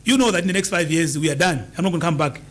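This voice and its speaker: A man with a notably high-pitched voice speaks as a political guest. He talks in an emphatic, campaigning way, hammering home his points and repeating them for stress. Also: rapid-fire, a bit bright. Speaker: political party leader as studio guest. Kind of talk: political interview answers.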